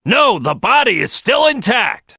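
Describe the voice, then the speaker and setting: Brash crocodile team boss for a game: gruff, boisterous, forceful, swooping delivery, very dry recording. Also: male voice, sits high, sing-song, measured pace, dry recording, exaggerated voice. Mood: bossy, assured. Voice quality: gruff, gravelly. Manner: loud, boisterous, bossy. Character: big brash male crocodile detective, gruff team leader. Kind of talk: video game character acting.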